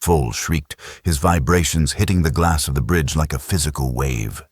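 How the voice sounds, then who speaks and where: A man's voice: extremely low pitch, normal speed, husky, no room sound, studio quality. A man narrating a sci-fi audiobook in a grave, dramatic, suspenseful storytelling style.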